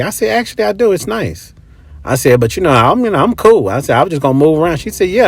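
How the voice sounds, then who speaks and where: A man's voice: medium pitch, a bit bright, no room sound. A middle-aged man telling stories in a casual monologue, talking in a blunt, emphatic, conversational way. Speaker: host.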